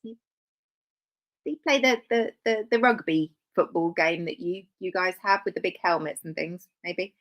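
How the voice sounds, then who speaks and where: A woman's voice: fairly low pitch, moderate pace. A woman hosting a live stream, talking casually with dry sarcastic asides and rambling self-corrections.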